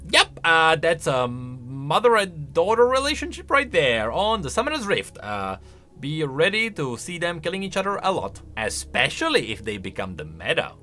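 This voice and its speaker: A man's voice: a little high, huge pitch swings, moderate pace, pushed and bright. A man giving casual, conversational video game lore commentary, thinking aloud and posing questions. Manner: conversational, speculative, rhetorical questions. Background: faint music.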